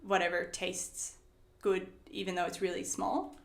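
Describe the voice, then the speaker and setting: A woman's voice: fairly low pitch, fairly even intonation, unhurried, vocal fry, reverberant. A young woman from Sweden who speaks English with an American accent, chatting casually as an interview guest with many fillers. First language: Swedish. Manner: chatty, lots of fillers and likes.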